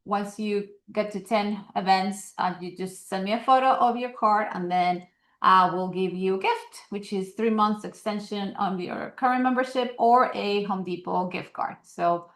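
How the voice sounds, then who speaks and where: A woman's voice: medium pitch, fairly even intonation, moderate pace, a bit bright, reverberant. A woman with a Colombian accent hosting announcements at an online meeting, talking casually with many fillers and an upbeat promoting tone. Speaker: investor association host.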